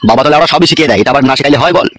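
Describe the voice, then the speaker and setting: Crude, mocking cartoon gang boss played by a man, bossy, slightly high male voice, racing pace. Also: very fast, a bit bright, no room sound. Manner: bossy, teasing, swearing. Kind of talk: comedy cartoon dubbing.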